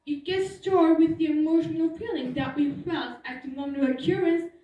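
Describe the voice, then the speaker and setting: A woman's voice: high voice, fairly even intonation, normal speed, highs cut off, slight background noise. A teenage girl, giving an earnest, explanatory prepared talk as a student speaker. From Turkey. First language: Turkish. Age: teens. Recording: echoey hall, voice from far off.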